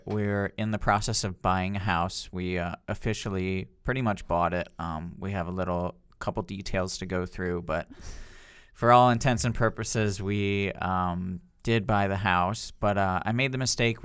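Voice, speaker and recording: A man's voice: lowish voice, fairly even intonation, unhurried, a bit bright, clear, resonant tone, dry recording, studio quality. A young man co-hosting a podcast with casual, conversational banter. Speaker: podcast co-host.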